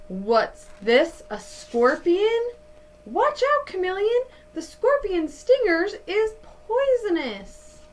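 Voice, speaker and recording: Woman in her thirties, children's read-aloud, very lively intonation, unhurried pace, dramatic emphasis. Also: extremely high pitch, slight background noise. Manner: animated, deliberate storytelling with dramatic emphasis. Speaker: teacher reading aloud to children. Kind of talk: expressive picture-book read-aloud. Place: small indoor room at home.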